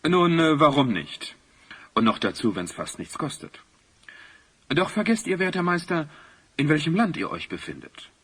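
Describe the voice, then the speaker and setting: Husky, lively northern king. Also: fairly high pitch, normal speed, exaggerated voice. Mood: considering, regal. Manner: regal, declamatory. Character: thrifty, commanding king of an icy northern realm. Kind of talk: German fantasy audio drama acting.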